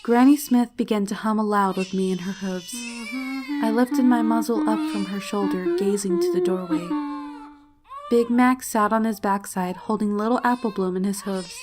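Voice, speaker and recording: A woman with a mid-pitched voice narrates a dramatic first-person story. Her delivery is emotive and solemn, and faint music plays behind her. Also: moderate pace. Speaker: fan fiction narrator.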